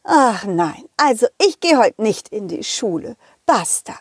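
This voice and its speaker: A woman's voice: a little high, big pitch swings, a little slow, slightly rough, dry recording, studio quality, full character voice. A woman voicing a grumpy, sleepy female lemon teacher who is dismissive and whiny and wants to be left alone. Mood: grumpy, weary.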